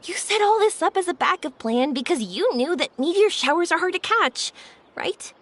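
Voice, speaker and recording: A woman's voice: very high voice, expressive, normal speed, a little hiss or hum. A woman voicing a cheerful young woman in a studio game who gushes and laughs easily. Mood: grateful. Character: cheerful young fireworks-loving woman, warm and excitable.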